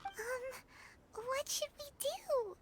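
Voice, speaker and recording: A woman voicing a tiny, childlike, excitable sprite companion in a video game, chatty and blunt. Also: very high voice, extremely slow, full character voice. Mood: uneasy. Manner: exclamatory, blunt, cute. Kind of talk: cartoonish video game voice acting. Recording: noisy stream capture with clicks.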